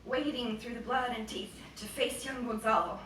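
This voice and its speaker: A young woman, an author giving an expressive reading of her novel at a bookstore event. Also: fairly high pitch, normal speed. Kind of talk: expressive public book reading. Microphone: boom-stand mic at lectern, distant camera. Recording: roomy, distant, noisy bookstore recording.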